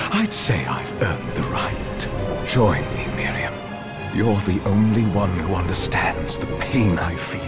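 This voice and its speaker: A wronged, vengeful villain in a game drama. He speaks very slowly and theatrically, with bitter menace. Music is clearly heard underneath. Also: low-pitched, heavily acted. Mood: indignant. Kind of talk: dramatic video game voice acting.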